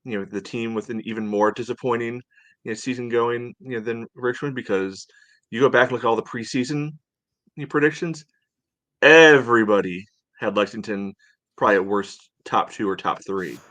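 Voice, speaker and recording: A man's voice: medium pitch, normal speed, fairly bright tone, dry recording. An American man co-hosting a sports podcast, chatting casually in a rambling, conversational way.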